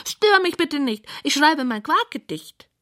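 A squealing, self-important little pig from a children's bedtime story. The voice sits high and swoops widely, theatrical and excitable, a lonely creature very pleased with its rhymes. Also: female voice, unhurried, full character voice. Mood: indignant, self-important. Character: excitable, vain, lonely pink pig who loves rhyming.

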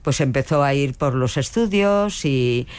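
A woman's voice: rumbling low, lively intonation, a little slow, a little mellow, smooth. An older woman, a veteran dubbing actress with a strong voice, answering interview questions with frequent hesitations and restarts. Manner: hesitant, many fillers and restarts.

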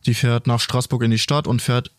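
A man's voice: medium pitch, fairly even intonation, brisk, no echo. Police officer guy telling how a case went, dry close studio sound. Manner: plain storytelling. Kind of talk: recounting a case.

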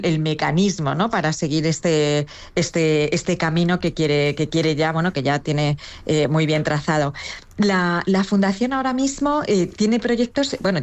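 A woman's voice: on the low side, a little mellow. A woman from Castilla-La Mancha, guest in an interview, answering reflectively with hesitations and tag questions. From Castilla-La Mancha, Spain. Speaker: guest, foundation director.

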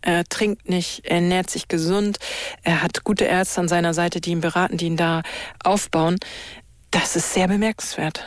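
A woman's voice: fairly low pitch, fairly even intonation, moderate pace, no echo, studio quality. A woman with pronunciation, talking casually and energetically as a personal trainer telling a story.

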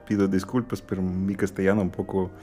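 Deep-voiced man. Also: level tone, brisk, dark tone, soft background music. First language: Russian. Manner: hesitant, searching for words, many fillers. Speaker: guest pianist. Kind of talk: halting interview answers in a second language.

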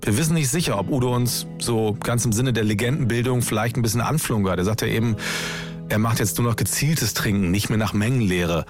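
A man's voice: fairly low pitch, fairly quick, over music. A man hosting a documentary podcast, telling the story in scripted narration and laying out questions one by one.

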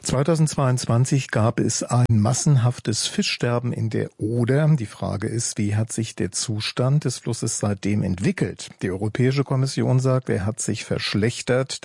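A male radio news presenter reads the bulletin in a measured, formal way. His voice is gravelly and mellow, a little husky, and the recording is close and dry. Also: mid-range voice, no room sound.

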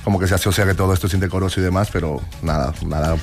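Man, late twenties, low-ish voice, very fast conversational explainer, interviewee. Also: fairly even intonation, faint music behind. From Colombia. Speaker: interview guest, twerk dance teacher and academy owner.

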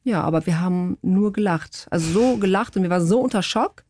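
A woman's voice: on the low side, fairly quick. A woman from Portugal chatting casually as an interview guest, acting out quotes as she tells stories into a close studio microphone. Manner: animated storytelling with acted-out quotes. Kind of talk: casual anecdotal chat. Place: radio studio.

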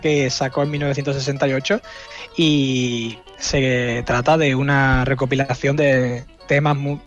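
A man giving an informal, explanatory radio music segment, often pausing mid-phrase and tagging his points for agreement. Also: medium pitch, level tone, lo-fi sound. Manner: halting, explanatory, seeks agreement with tags. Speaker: radio music section contributor. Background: music playing underneath.

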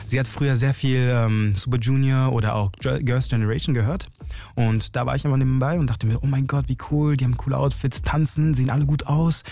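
A man's voice: mid-range voice, fairly even intonation, fairly quick, creaky, warm tone. A man in his twenties speaking casually as an interview guest, using youthful slang and English words. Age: 20s. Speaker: interview guest, singer and dancer.

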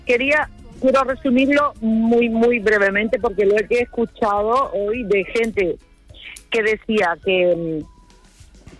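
A woman's voice: mid-range voice, no echo, low-quality recording. A woman calling a radio phone-in, telling a casual anecdote in a chatty, self-mocking way.

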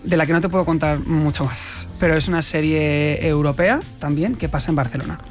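Fast-talking Spanish man, animated actor. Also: fairly high pitch, faint music behind. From Spain. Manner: fast, animated, laughs often, many restarts. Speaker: actor, interview guest. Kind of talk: casual interview chat.

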